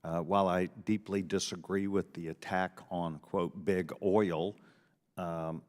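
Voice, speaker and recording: A man's voice: sits low, fairly even intonation, a little slow, a little mellow. A man questioning witnesses at a committee hearing, pressing and repeating himself as he interrupts. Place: large hearing room. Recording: hearing room with long reverb.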